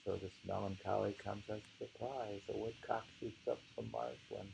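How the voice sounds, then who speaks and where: A man reading poetry aloud and commenting on it informally, in a halting, musing way with pauses and hums. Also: fairly low pitch, fairly even intonation, vocal fry, a little mellow, slight background noise, rough recording. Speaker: reader and discussion host.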